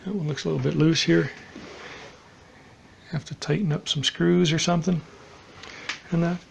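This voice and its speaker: A man giving a casual, unscripted demonstration as a DIY hobbyist, halting and matter-of-fact, trailing off at times. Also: fairly high pitch, steady tone, creaky, a little hiss or hum.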